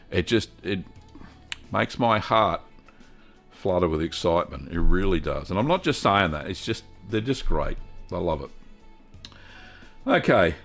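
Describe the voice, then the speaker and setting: A middle-aged man hosting a casual cheese-making livestream, chatty and upbeat, reading out viewer comments and filling pauses with ums. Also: deep voice. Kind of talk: casual live-stream chat.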